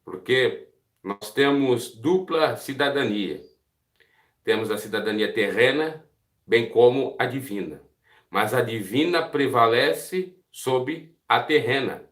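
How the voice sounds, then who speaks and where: Earnest Brazilian preacher in an echoey room. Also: male voice, mid-range voice, takes it slowly, fairly bright tone. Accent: Brazilian Portuguese. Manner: earnest, asks listeners direct questions. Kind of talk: devotional Bible teaching.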